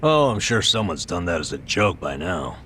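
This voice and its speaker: Dry, steady game comrade, very low voice, faint noise behind. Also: male voice, normal speed, full character voice. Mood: wry, grave. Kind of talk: video game cutscene acting.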